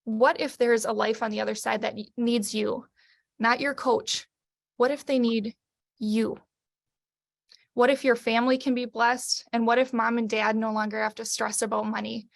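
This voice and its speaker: A woman's voice: fairly high pitch, flat delivery, moderate pace, creaky. A woman giving a testimonial on a video call, preaching in rhetorical questions. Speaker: company coach.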